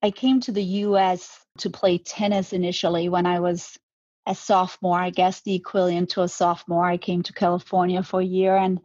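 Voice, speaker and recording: A woman's voice: lowish voice, flat delivery, brisk, a little mellow, no echo, highs cut off. Woman, reflective interview guest. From Denmark. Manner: reflective, many restarts and repeated words. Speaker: guest, brand co-founder. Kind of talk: conversational interview.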